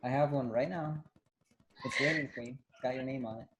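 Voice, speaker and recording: A young man chatting casually on a video call from his living room, relaxed and a bit hesitant with lots of fillers. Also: medium pitch, brisk, noisy background. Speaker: new business recruit. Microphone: desktop studio mic on video call.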